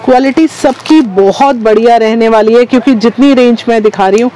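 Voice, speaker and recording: A woman's voice: a little high, a little fast, dark tone, poor audio. A woman hosting a shopping vlog, presenting wholesale goods in a repetitive patter of stock phrases. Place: small wholesale market shop, indoors.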